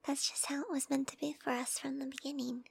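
A young woman whispering softly in an audio roleplay to the listener, giggly and intimate, close to the mic. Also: sits high, level tone, fast talker, vocal fry. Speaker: roleplay performer.

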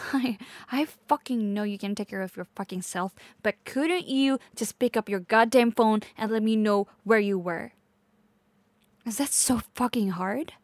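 A woman's voice: on the high side, measured pace, dry recording, lightly acted. A woman playing a possessive, volatile, manipulative girlfriend in an intense audio roleplay, addressing the listener directly. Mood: accusing, worried. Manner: intense, swearing, swinging between pleading and menace.

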